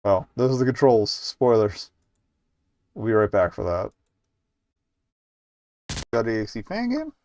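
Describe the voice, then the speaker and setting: A man's voice: lowish voice, huge pitch swings, a little slow, pauses often, noisy background. A man giving casual live game commentary as a streamer, rambling and hesitant, with muttered asides.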